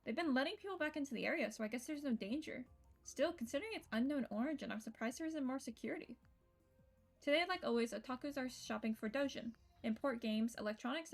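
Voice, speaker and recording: A woman with a fairly high voice reads story narration aloud as she streams a game. Music plays under her reading.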